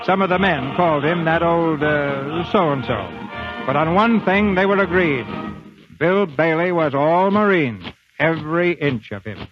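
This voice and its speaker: An American man hosting and narrating a 1940s radio theater program in a formal, measured, polished announcer style. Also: fairly high pitch, expressive, muffled recording, music in the background. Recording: dry, band-limited 1940s radio broadcast.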